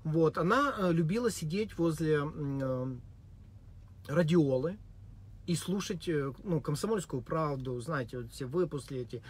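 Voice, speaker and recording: A man giving a deadpan, mock-serious spoken monologue with many hesitations and fillers. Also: a little high, measured pace, breathy edge. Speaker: vlogger.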